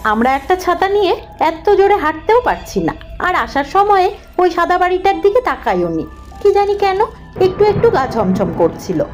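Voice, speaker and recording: A woman narrating a scripted horror cartoon story in the first person, dramatic and expressive. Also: extremely high pitch, moderate pace, soft background music.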